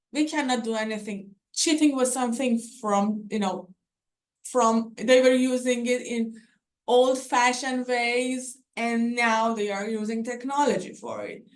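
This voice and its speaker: A young woman with a Persian accent giving a conference presentation, hesitant, with many fillers and restarts. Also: a little high. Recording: voice from far off the mic.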